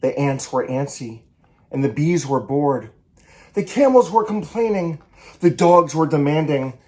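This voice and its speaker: A man, a children's author, reading a picture book aloud in an animated, theatrical and emphatic way. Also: a little high, unhurried. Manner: theatrical, emphatic, with varied delivery.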